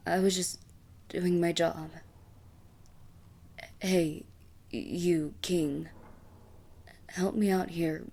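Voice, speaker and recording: A woman's voice: lowish voice, fairly even intonation, very slow, vocal fry, pauses often, mellow, exaggerated voice. A woman playing a sly, cocky assassin for hire in a medieval fantasy audio roleplay, with a taunting, self-serving manner. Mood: desperate. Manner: taunting, drawling, smug until pleading.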